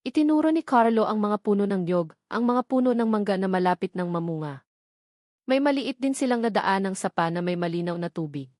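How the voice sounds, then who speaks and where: A woman's voice: mid-range voice, lively intonation, fairly quick, a little mellow, no echo. Woman narrating a drama, dry close sound. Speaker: audiobook narrator. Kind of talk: scripted dramatic story narration.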